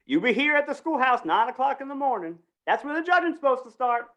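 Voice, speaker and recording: An actor playing a brisk, insistent small-town man organizing a fair in a radio western, folksy and persuasive. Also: high voice, sing-song, fairly bright tone, highs cut off. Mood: coaxing. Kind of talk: old-time radio western acting.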